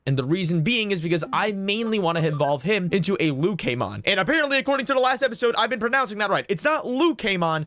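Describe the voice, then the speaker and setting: A man rattles off excitable let's-play commentary at a quick clip, his voice sitting a bit high and slightly raspy from just waking up. He rambles, stumbles and corrects himself as he goes. The sound is dry and close. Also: dry recording. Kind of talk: casual gameplay commentary.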